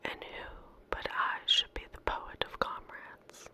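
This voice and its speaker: A woman whispering softly in an unhurried, gentle ASMR style, as a host reading poetry aloud. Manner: soft, unhurried, gentle delivery with pauses.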